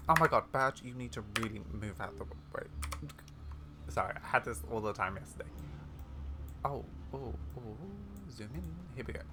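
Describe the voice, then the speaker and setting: A woman giving excitable, chatty playthrough commentary on a video game, with gushing asides. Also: rumbling low, fairly quick. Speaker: gaming video host. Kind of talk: excitable playthrough commentary mixed with reading game dialogue aloud. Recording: home recording with keyboard clicks and noise.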